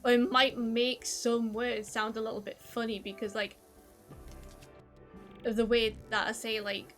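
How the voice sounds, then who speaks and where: Geordie woman, streamer, loose rambling chat, fairly high voice, faint game music behind. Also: little pitch movement, unhurried. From North East England. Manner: rambling, many "like" fillers, self-deprecating. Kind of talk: casual chat while gaming, reading chat.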